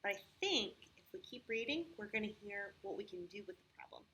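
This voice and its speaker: A woman hosting a story time for young children, talking in simple, patient, expressive phrases with frequent questions. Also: medium pitch, fairly quick, background noise. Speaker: children's church story host.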